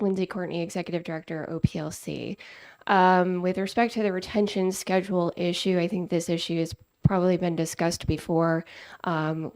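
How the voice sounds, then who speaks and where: A woman's voice: low-pitched, gravelly, a little mellow, no echo. A woman, an agency executive director, testifying at a committee hearing in careful, bureaucratic phrasing with frequent ums. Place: legislative committee hearing room. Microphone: table microphone.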